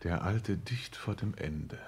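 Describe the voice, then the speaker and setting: An actor playing a snobbish, flippant aristocratic count in a radio play, with a haughty, sardonic, drawling manner. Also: male voice, deep voice, takes it slowly, a little mellow. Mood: dismissive. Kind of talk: 1960s German radio play acting.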